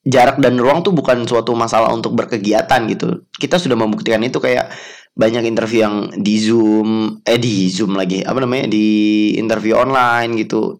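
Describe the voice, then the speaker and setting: A man's voice: on the low side, normal speed. Chatty young man podcasting. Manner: chatty, self-mocking, frequent fillers and laughs. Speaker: podcast host. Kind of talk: casual podcast monologue.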